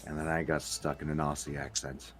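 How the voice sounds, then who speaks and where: A man's voice: very deep voice, little pitch movement, a little fast, fairly bright tone, faint music behind. A man with a Scottish accent hosting a casual chat podcast, who slips into accents as he talks. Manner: slips into accents while talking. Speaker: podcast host.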